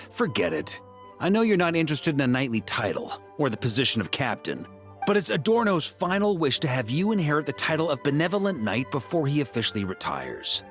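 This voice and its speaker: A man voicing an exasperated middle-aged grandmaster of knights in a video game, gruff but kindly and mock-stern. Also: on the high side, brisk, faint music behind. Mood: earnest, weary. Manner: expressive, mock-stern, sighing.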